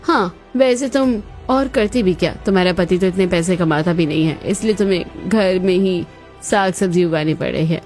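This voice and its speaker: A woman plays a greedy, jealous elder daughter-in-law from a cartoon story. She speaks in a lowish voice with a haughty, taunting edge, over a music bed. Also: moderate pace, darkish tone, natural voice. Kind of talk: Hindi cartoon moral-story voice acting.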